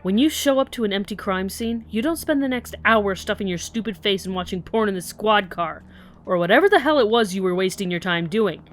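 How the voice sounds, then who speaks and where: An actress playing an angry, sardonic, foul-mouthed police detective in an audio drama, with a blunt delivery. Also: female voice, mid-range voice, lively intonation, brisk, slight background noise, lightly acted. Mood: scathing anger.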